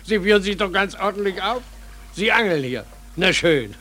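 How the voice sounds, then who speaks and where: Spanish policeman, fairly high voice, polite, puzzled, hesitant, repetitive, good-natured, 1940s radio play. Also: expressive, moderate pace, sharp tone, a little hiss or hum. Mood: affable, curious. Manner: hesitant, courteous, repeats himself.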